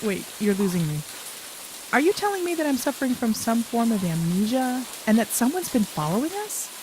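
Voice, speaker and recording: Questioning companion, faint rain behind. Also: female voice, on the high side, sing-song, brisk, background noise. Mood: puzzled. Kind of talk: audio drama acting.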